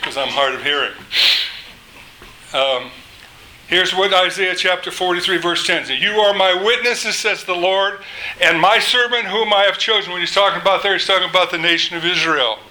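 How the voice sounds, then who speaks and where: Older male preacher, loud and bright-toned, slow measured sermon delivery, faint noise behind. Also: sits high, low-quality recording. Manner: slow, emphatic delivery, rises when quoting scripture. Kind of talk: sermon with scripture reading.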